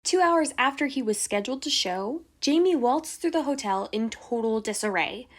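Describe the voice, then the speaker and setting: A woman's voice: sits high, lively intonation, normal speed. A woman playing an indignant, dramatic Hollywood socialite in an amateur radio play, with a theatrical, exasperated manner. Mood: indignant recounting.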